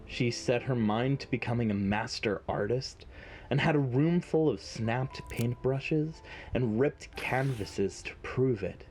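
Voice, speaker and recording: A man's voice: medium pitch, darkish tone, low-quality recording. A man narrating a scripted fantasy story in an audio drama.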